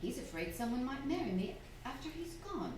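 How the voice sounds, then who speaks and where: A woman plays a genteel, strong-willed young wife in an old-fashioned radio drama. Her high voice moves theatrically up and down. It sounds distant and echoey, with clear background noise. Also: sing-song, a little fast, darkish tone. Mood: indignant, confiding. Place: theatre hall, distant microphone. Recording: echoey, noisy stage recording from afar.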